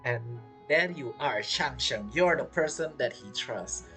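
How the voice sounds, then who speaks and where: Male streamer, expressive swings in pitch, casual rambling playthrough commentary, light music behind. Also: unhurried. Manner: rambling, repeats phrases. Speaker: game streamer.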